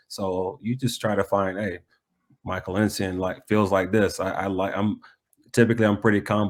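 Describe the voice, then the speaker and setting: An American man talking reflectively in a conversational interview, often pausing mid-thought to restate himself. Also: deep voice. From United States. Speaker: soccer coach being interviewed.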